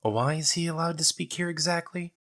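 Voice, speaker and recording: A man's voice: on the high side, brisk, pitched above the performer's own voice. A man voicing a defiant, hot-tempered young woman in an audio drama, with a sarcastic manner. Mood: indignant. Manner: sharp, sarcastic.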